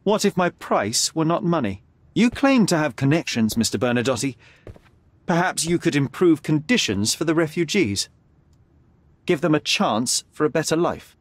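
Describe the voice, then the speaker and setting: Young game detective, cool, wry, measured, slightly high lively voice, dry recording. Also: male voice, no echo. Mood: challenging. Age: young adult. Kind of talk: video game cutscene acting.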